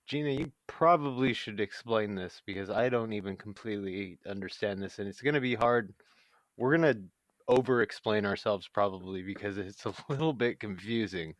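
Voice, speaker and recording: Low-voiced man co-hosting a podcast, dry sound. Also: moderate pace, no echo. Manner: loose, hesitant, lots of "uh" and "like". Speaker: podcast co-host. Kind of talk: casual podcast chat.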